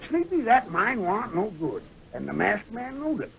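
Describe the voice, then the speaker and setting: A man's voice: high voice, sing-song, moderate pace, vocal fry, a bit bright, exaggerated voice, low-quality recording. An actor playing a folksy old townsman with a rustic American western accent in an old radio western. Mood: earnest, folksy. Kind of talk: 1940s radio western acting. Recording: old radio broadcast recording.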